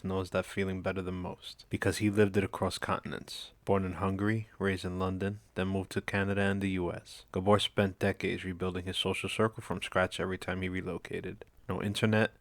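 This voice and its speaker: A man hosting a podcast, giving a measured, scripted introduction and then asking questions. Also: low-pitched, dry recording. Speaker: podcast host.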